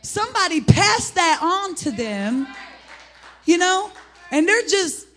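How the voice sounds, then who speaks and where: A middle-aged woman with an American accent and a husky, gravelly voice, preaching an informal, rambling sermon in an animated, folksy way. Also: very high voice, slow, soft background music. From United States (Indianapolis, Indiana). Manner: animated, rambling, folksy, breaking into laughter and exclamations. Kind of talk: informal sermon with personal testimony.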